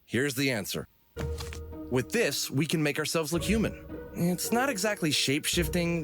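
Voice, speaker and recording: A man's voice: medium pitch, close to an everyday voice. A man voicing a clever, theatrical fox showman in an audio drama. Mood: confident, explaining. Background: music.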